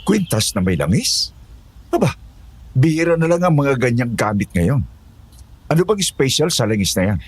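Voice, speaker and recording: A man's voice: medium pitch, big pitch swings, moderate pace, long pauses, breathy edge, dry recording. A man playing an older villager in an audio horror drama, solicitous yet suspicious, with musing hums and probing questions. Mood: curious, musing.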